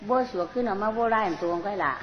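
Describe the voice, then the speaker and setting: A person speaking in quick conversational exchanges within a comic tale. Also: medium pitch, slight background noise. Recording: muffled, echoey reverberant hall.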